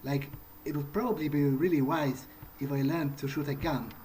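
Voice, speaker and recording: A man role-playing a rueful, bewildered new vampire in a video game, thinking aloud in a halting, rambling way with dry sarcasm. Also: fairly high pitch, steady tone, a little mellow, slight background noise, natural voice, low-quality recording. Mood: dry, puzzled. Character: rueful, bewildered newly turned young male vampire. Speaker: gaming role-player. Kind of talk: in-character improvised game monologue, thinking aloud.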